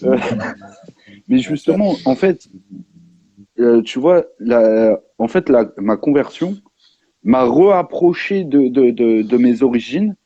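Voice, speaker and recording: A man with a rough, dark-toned voice gives casual personal testimony. He is halting and emphatic, repeating phrases. The audio is dull and lo-fi. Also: mid-range voice, expressive. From France. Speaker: live discussion participant. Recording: muffled, echoey lo-fi live stream.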